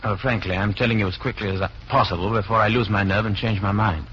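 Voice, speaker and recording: A quick-talking, low-pitched man acted by a man in an old radio spy play, nervy and blunt. His delivery is clipped and urgent, on a dulled, slightly noisy recording. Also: fairly even intonation, lo-fi sound, lightly acted. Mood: anxious. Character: nervy, blunt Englishman caught up in espionage. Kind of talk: 1940s radio drama acting.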